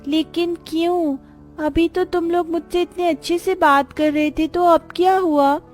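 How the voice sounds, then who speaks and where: A sweet young schoolgirl played by a woman from a cartoon moral story speaks in a very high voice. She is earnest, polite and childlike, with music playing behind her. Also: steady tone, unhurried. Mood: hurt, tearful. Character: sweet, earnest poor schoolgirl, patient and easily hurt. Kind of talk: Hindi animated moral story dialogue.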